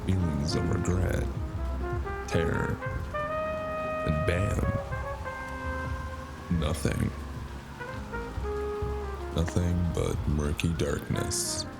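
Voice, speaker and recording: A man voicing a very deep, gravelly noir antihero. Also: fairly even intonation, full character voice. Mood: brooding. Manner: brooding, sardonic, measured delivery. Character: world-weary noir man, smoker haunted by his deaths. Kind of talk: noir audio drama with first-person narration. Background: loud cinematic music.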